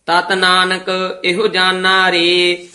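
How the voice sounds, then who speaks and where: A man's voice: sits high, completely flat delivery, extremely slow, pushed and bright. A man reciting Sikh scripture as a student, in a chanted devotional style.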